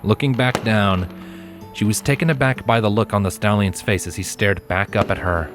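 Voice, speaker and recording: A man reading fiction aloud as an expressive narrator of a scripted story. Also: deep voice.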